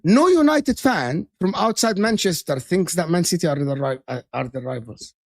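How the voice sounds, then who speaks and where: A man's voice: high voice, lively intonation, normal speed, dry recording, clean studio sound. A man co-hosting a heated casual football debate, talking emphatically and insistently and repeating his points. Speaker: football podcast co-host.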